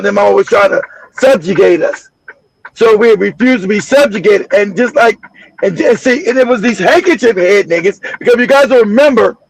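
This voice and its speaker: A man's voice: sits high, gravelly, dry recording, poor audio. A man hosting a livestream, delivering angry, blunt, profane political commentary. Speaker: livestream host.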